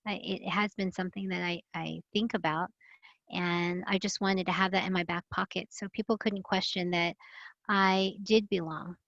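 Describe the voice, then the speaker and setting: A woman's voice: lowish voice, fairly even intonation, moderate pace, gravelly, fairly bright tone, dry recording. Woman panel guest, earnest and reflective, dry lo-fi call audio. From Philippines. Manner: earnest, reflective, many fillers. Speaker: guest, health and safety expert. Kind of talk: live online panel talk. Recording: dull lo-fi video call audio.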